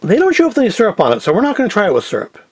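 A man's voice: high voice, huge pitch swings, racing. A man giving a casual, unscripted product review as host, with wry, self-deprecating asides. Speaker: host of a food review show. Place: indoor tabletop set. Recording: clear background noise, packaging crinkles. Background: clicks and crinkling packaging.

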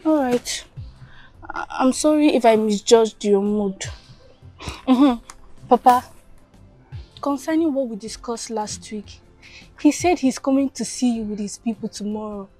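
A high-voiced young Nigerian daughter. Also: female voice, measured pace, pauses often, warm tone, faint music behind, rough recording. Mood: hesitant. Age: young adult. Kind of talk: film drama acting.

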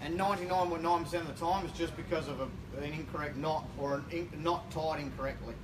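Australian male shop worker, fairly high pitch, blunt casual explainer, distant microphone. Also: steady tone, quick pace. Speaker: fishing shop staff. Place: indoor fishing tackle shop. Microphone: distant camera microphone. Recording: distant mic, noisy shop room.

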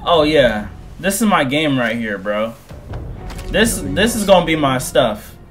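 A man in his twenties commentating on a game through a headset, excitable and slangy, repeating himself. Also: fairly high pitch, measured pace, loud music behind the voice. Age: 20s. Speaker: gaming streamer. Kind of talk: casual gameplay commentary.

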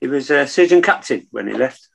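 A man in his sixties with a northern English accent reminisces casually as a podcast guest. His voice is gravelly and husky, at an average pitch. He speaks from home over a video call. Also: lively intonation, brisk, a bit bright. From Manchester, England. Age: 60s. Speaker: podcast guest, navy veteran. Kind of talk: casual storytelling in an interview.

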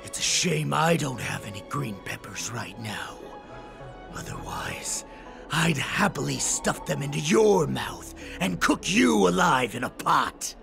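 Gravelly, sneering wolf-man henchman. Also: a little high, animated, unhurried, over music, exaggerated voice. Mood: cold threat. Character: vicious, sneering young male wolf-man henchman, threatening. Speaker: voice actor in a video game. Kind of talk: dramatic game character acting.

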